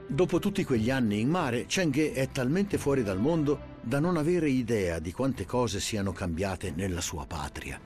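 A man's voice: mid-range voice, animated, moderate pace, hardly any pauses, darkish tone, faint music behind. A man reading scripted documentary narration.

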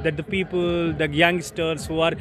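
High-voiced reporter, courteous. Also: male voice, flat delivery, normal speed, crowd noise. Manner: formal, courteous, many "uh" fillers. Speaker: reporter, interviewer. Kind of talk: news interview questions. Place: outdoor stadium grounds. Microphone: handheld mic held toward guest.

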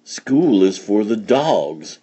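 Man voicing a emphatic, theatrical mama cat with a husky edge. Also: fairly low pitch, sing-song, moderate pace, darkish tone, full character voice. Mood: dramatic scolding. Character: bossy dramatic mama Siamese cat, scolding with fondness.

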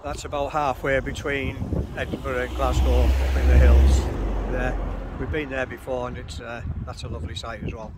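An older man strolls outdoors and talks into a phone held at arm's length, relaxed and rambling, with plenty of uh and um. His voice stays flat and even, sitting in a middle pitch. Loud wind and open-air noise roar across the phone mic. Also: moderate pace, few pauses. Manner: relaxed, chatty, lots of uh and um fillers. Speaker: caravanning vlogger. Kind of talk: casual walking vlog. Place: outdoors on a windy clifftop campsite road. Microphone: phone selfie camera at arm's length while walking. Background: wind and rural outdoor ambience.

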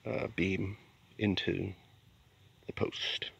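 A man's voice: low voice, flat delivery, extremely slow, vocal fry, a bit bright, a little hiss or hum. A man giving a casual explanatory vlog narration as an amateur builder, recorded outdoors on a handheld camera. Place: outdoors in a wooded yard at a building site. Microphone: handheld phone camera, speaker behind it.

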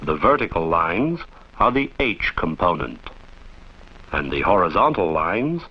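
Deep-voiced male film narrator, dull old soundtrack. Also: very animated, husky. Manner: formal, measured, didactic. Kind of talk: scripted instructional narration.

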